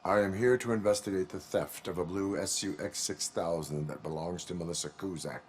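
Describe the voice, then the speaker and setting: An actor playing a stern robotic cyborg police officer in a video game, speaking formally in a clipped, deadpan way. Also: male voice, deep voice, fairly quick, slight background noise, close to an everyday voice. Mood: flat, official. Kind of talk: video game cutscene acting.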